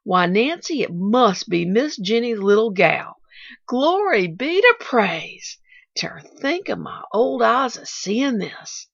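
Woman reading as a gentle old gardener. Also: a little high, big pitch swings, gravelly, husky, no echo, pitched above the performer's own voice, heavily acted. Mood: wondering. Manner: rustic dialect, slow and wondering. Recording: dull lo-fi recording.

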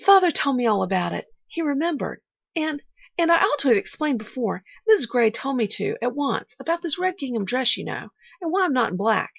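A woman plays an eager little orphan girl from a storybook, high-pitched and very animated. She rambles breathlessly, trips over her own words and keeps bravely glad. The recording is dull and lo-fi. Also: brisk, creaky, breathy edge, dry recording, heavily acted. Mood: earnest, rambling. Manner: breathless, rambling, self-correcting. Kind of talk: audiobook character voice.

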